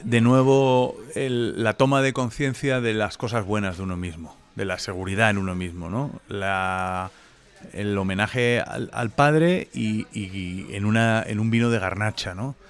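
Low-voiced chatty man hosting a podcast. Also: fairly even intonation, unhurried, smooth. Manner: reflective, chatty, frequent tag questions. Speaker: podcast host. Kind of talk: casual conversational interview. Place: wine venue indoors.

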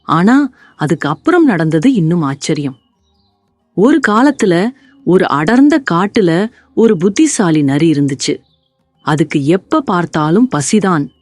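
A woman narrating a scripted children's story in an expressive, deliberate way. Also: mid-range voice, dry recording, studio quality.

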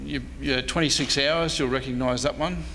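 An Australian man gives an informal talk to go with slides. His voice is bright and forward, carried in a long-echoing meeting room with faint noise behind it. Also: mid-range voice, fairly even intonation, moderate pace. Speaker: historian giving a presentation. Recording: echoey hall, faint noise.

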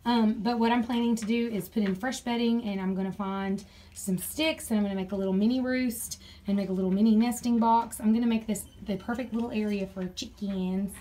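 A woman's voice: mid-range voice, steady tone, brisk, warm tone. A woman chatting casually while doing farm chores, rambling and laughing often, recorded outdoors and in a barn on a handheld phone. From Tennessee, USA. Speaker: homestead vlogger.

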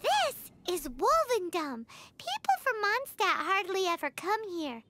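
Tiny game fairy sidekick: extremely high squeaky childlike voice, wildly animated, excitable. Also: female voice, unhurried, background noise, exaggerated voice. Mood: chirpy explaining. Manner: exclamatory, dramatic, refers to self by name. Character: tiny chirpy excitable female fairy-like companion. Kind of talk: video game character voice acting. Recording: game music behind.

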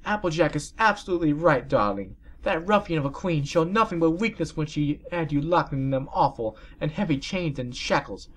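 A man's voice: fairly high pitch, animated, voice pushed up. A man voicing a prim, motherly pony aunt in a lifted, emphatic voice as he reads fan fiction aloud. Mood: indignant. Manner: raised, somewhat lifted voice, slow and emphatic.

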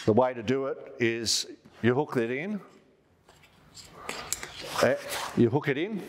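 A man's voice: mid-range voice, slight background noise. A man in his fifties with an Australian accent, giving a casual, conversational product walkthrough as a presenter. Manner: informal, conversational, checking in with "right?". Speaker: presenter of outdoor gear product videos. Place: large hard-walled showroom, indoors. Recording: echoey showroom, long reverb.

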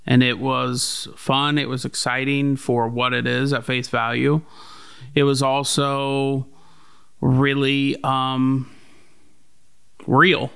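A young man speaking earnestly into a boom studio mic at home, peppering his talk with fillers. His tone is bright and forward with a gravelly edge, while staying crisp and clean. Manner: earnest, filler-heavy with "you know". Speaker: podcast co-host, label runner. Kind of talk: casual conversation. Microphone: boom-mounted studio mic.

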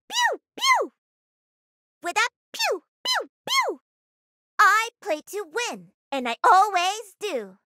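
A woman voicing a cocky, playful young female pro gamer and mech pilot in a video game, with a sassy, confident, energetic delivery. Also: extremely high pitch, a little hiss or hum, full character voice. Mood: excited, confident. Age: young adult.

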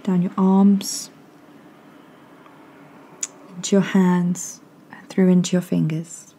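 A woman softly and gently guiding a meditation in a calming, breathy voice with long pauses. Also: on the low side. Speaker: meditation guide. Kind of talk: guided meditation, soothing scripted narration.

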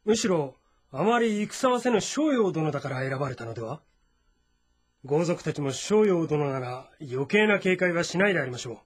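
An astute adviser to a warlord in a historical game. He speaks politely and with reason in a husky, slightly high male voice over faint music. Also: expressive, normal speed. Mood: measured counsel. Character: astute, courteous male strategist advising his lord. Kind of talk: dramatic Japanese historical game voice acting.

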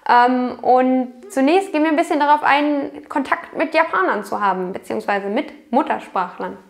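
A young woman speaks to camera in a small, bright room at home, her voice sitting a little high. She explains study tips clearly and patiently, dropping the odd filler as she goes. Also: moderate pace. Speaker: language learning YouTuber. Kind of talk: talking-to-camera tips video.

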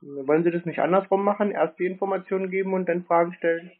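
A laconic man on a prank phone conversation, playing dumb and answering deadpan. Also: high voice, fast talker, phone-quality sound. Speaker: prankster answering scam calls.

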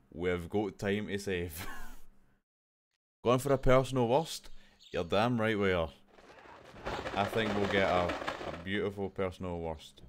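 A man's voice: lowish voice, background noise. A young man streaming a video game, chatting casually and mocking his own play with frequent laughs, recorded at home on a desk mic. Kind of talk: casual live commentary over gameplay. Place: home room. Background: game music.

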